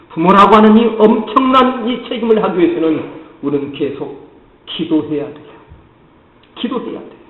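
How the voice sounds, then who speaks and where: A male pastor from the Jeolla region of Korea with a Jeolla accent, preaching earnestly and often speaking straight to his congregation. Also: fairly high pitch. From Jeolla, South Korea. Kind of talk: sermon. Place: church sanctuary. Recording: echoey church hall, muffled lo-fi sound.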